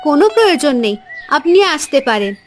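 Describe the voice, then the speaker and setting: A woman playing a gentle young village mother. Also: sits high, quick pace, soft background music. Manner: gentle, calm.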